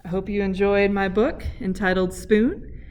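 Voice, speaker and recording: A woman's voice: medium pitch, clean tone. American woman reading a children's storybook. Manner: expressive, deliberate storybook delivery. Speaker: PE teacher and coach reading aloud. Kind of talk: children's picture book read-aloud.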